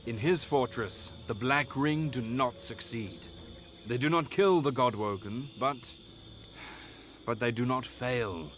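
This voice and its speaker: A man playing a grave, weary elf knight in a fantasy game, speaking in a measured, formal way. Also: medium pitch, a bit bright. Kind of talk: fantasy game character acting.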